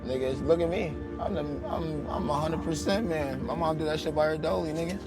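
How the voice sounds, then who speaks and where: A man's voice: on the high side, a little fast, over loud music. A man, a rapper guest, talking bluntly and profanely in a casual interview. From Albany, New York.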